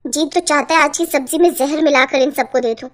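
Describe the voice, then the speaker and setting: A woman voicing an exasperated, sarcastic young daughter-in-law in a cartoon, complaining with a sharp tongue. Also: high voice, a little fast. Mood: grumbling. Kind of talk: Hindi cartoon voice acting.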